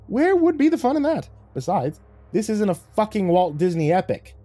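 A man's voice: sits high, very animated, normal speed, dark tone, no echo, voice pushed up. A man voicing a mocking, sadistic devil in the shape of a cat, theatrical and taunting, in an audiobook story.

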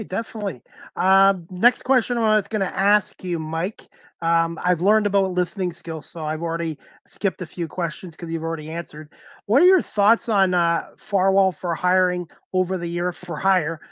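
A man's voice: sits high, normal speed, a bit bright, no room sound. A middle-aged man casually interviewing a guest as talk show host, speaking into a headset. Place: indoors, video call.

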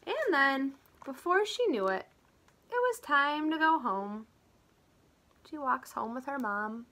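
A woman with a high, bright voice reads a storybook to little children. Her delivery is slow and very lively, like a preschool teacher, with expressive asides to explain things simply. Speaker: preschool teacher reading a picture book aloud. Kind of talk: animated read-aloud storytelling for small children.

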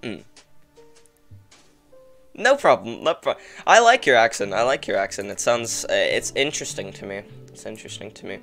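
Rambling young man, bright voice. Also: on the low side, big pitch swings, moderate pace, gravelly, faint music behind. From England. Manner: rambling, heavy use of "like", frequent laughter. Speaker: podcast guest. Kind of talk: casual chat.

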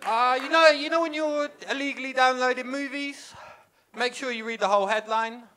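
A young man performing stand-up on a small comedy club stage, speaking into a handheld mic. His voice sits high for a man and rings bright and sharp. He delivers his lines with a wry, self-deprecating deadpan. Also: normal speed, slight background noise. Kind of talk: stand-up set. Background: audience laughter.

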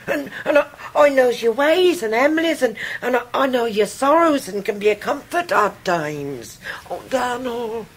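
An actress playing a forlorn, devoted elderly widow in a radio drama, plaintive and beseeching. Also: female voice, on the high side, animated, moderate pace, hardly any pauses, slightly rough. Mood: pleading. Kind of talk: 1990s radio drama acting.

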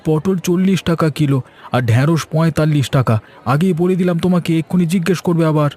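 Man voicing a touchy high-pitched young village vegetable seller. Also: brisk, a little mellow, natural voice. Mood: defensive. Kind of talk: animated cartoon story dialogue.

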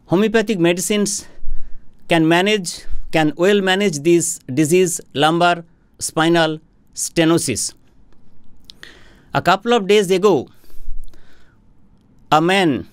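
A middle-aged man from India, a homeopathic doctor, explaining a health topic to camera in a formal, deliberate way, speaking into a studio microphone. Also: high voice, clean tone, no room sound. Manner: slow, deliberate, formal explaining with hand gestures. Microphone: studio microphone on a boom arm in front of him.

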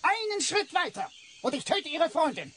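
A man's voice: very high voice, very animated, moderate pace, pushed and bright, a little hiss or hum, full character voice. Impatient male kidnapper, radio drama, raised voice with wild swings, barking threats. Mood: threatening. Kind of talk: radio play acting.

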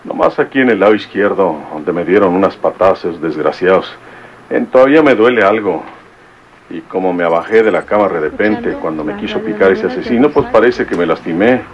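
Tough country lawman with folksy speech; low voice, dull old radio sound. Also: lively intonation, a little fast. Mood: gruff. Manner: folksy rural speech, blunt. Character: rough rural police chief, blunt and tough. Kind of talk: vintage radio drama acting.

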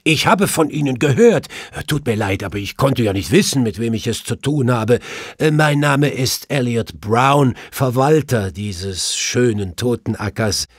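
A gruff cemetery keeper in a crime audiobook, acted by a man. He is blunt and blustering, with a husky, animated voice. The sound is close and dry. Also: mid-range voice, a little slow, no room sound, pitched above the performer's own voice. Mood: apologetic. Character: gruff male cemetery keeper, quick to anger.

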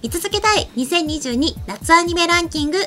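A woman's voice: very high voice, expressive, a little fast, music in the background. A woman co-hosting a casual talk show, chatting and presenting. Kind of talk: casual chat with ranking announcements. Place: small indoor streaming studio. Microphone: microphone on desk in front.

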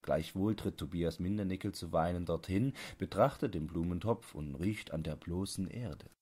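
Low-voiced male audiobook narrator. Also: normal speed, warm tone, husky. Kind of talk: scripted literary narration.

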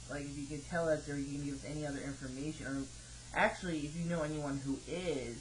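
A man in his twenties talking haltingly and questioningly, like a customer service caller on a phone call. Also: on the high side, a bit bright. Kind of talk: hesitant phone conversation. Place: home office, indoors. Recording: noisy home computer microphone recording.

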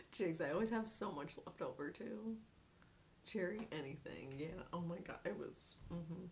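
A young woman talks casually into a desk mic in a small bedroom, laughing easily and thanking viewers as she goes. She often slips into soft, breathy near-whispers between her normal chatty lines, and a faint low rumble sits under the room. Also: slow, pauses often. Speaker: Twitch streamer. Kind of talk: casual live-stream chat, reading chat aloud.

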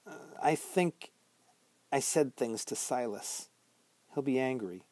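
A man's voice: mid-range voice, expressive, slow, darkish tone, no room sound. A man reading an audiobook, voicing a troubled boy raised in a graveyard who talks in a hesitant, subdued way.

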